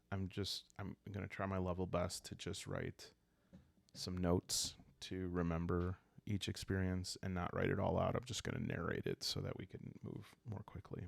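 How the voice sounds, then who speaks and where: Guy doing calm asmr solo game narration, deep voice, super dry close sound, kinda halting. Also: creaky, no echo. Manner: calm, unhurried, halting, thinking aloud. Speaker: host and solo player of a journaling roleplaying game.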